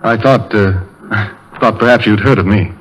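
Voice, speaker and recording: Male actor as genteel rival suitor, 1930s radio comedy: husky, lowish, hesitant, awkwardly polite. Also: normal speed, close to an everyday voice, rough recording. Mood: nervous, apologetic. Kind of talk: 1930s radio play acting. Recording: old 1930s radio broadcast recording.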